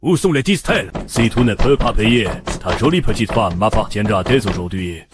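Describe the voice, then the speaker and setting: A man voicing a sneering, threatening thug who collects a debt, in a French animated series dub. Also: on the low side, moderate pace, background noise, lightly acted. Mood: menacing. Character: menacing male thug, debt collector, arrogant.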